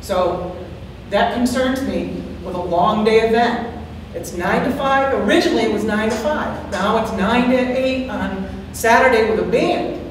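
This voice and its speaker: Loud-voiced woman pleading her case at a town hearing, big echoey room. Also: on the high side, unhurried, hardly any pauses. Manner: earnest, worried, repeats herself. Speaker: resident giving public comment. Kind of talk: public testimony at a board hearing. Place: large town meeting hall, far from the mic. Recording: echoey hall, distant mic, faint hiss.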